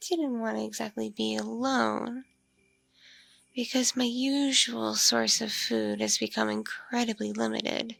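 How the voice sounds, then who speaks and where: A woman playing a seductive, hungry mature vampire mother in an intimate ASMR roleplay, teasing and condescending, breathy and slipping into whispers. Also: on the high side, fairly bright tone, dry recording, full character voice. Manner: slow, teasing, hesitant hums and pauses.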